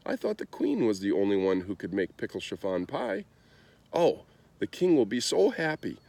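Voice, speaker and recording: A man voicing a kind, earnest young prince in a storybook, tender-hearted and expressive. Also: fairly low pitch, normal speed, vocal fry, exaggerated voice. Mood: excited.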